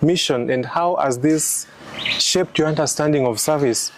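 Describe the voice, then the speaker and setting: A man's voice: a little high, normal speed, noisy background. A young man, a philosophy student, politely asking formal interview questions with occasional fillers.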